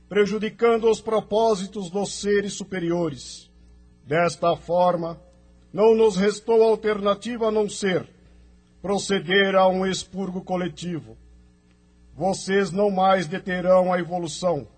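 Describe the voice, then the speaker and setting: A man's voice: high voice, fairly even intonation, a little slow, faint music behind. A man playing a solemn, benevolent spirit emissary in an audio drama, speaking in a formal, exhorting way.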